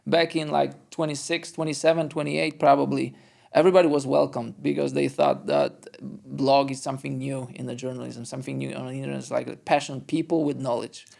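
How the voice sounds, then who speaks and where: A man's voice: mid-range voice, little pitch movement, fairly quick, gravelly, darkish tone. A middle-aged man who covers basketball as a journalist, co-hosting a podcast and telling stories in a chatty way, often quoting people. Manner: chatty storyteller, quotes others. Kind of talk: casual conversation.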